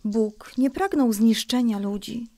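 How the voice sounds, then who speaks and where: A woman playing a gentle mother who patiently explains a Bible story in a children's audio drama. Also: a little high, measured pace, no echo. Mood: solemn. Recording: faint music behind the voice.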